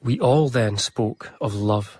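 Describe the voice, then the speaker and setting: An actor playing an earnest, devoted biographer who narrates a radio drama in a soft, admiring tone. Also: male voice, fairly low pitch, takes it slowly, a little hiss or hum.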